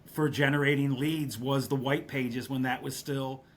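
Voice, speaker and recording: A man's voice: medium pitch, steady tone, normal speed, crowd noise. A man from western New York, a podcast guest, candidly telling anecdotes and checking in with frequent asides. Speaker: guest, real estate business leader. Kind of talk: podcast interview storytelling.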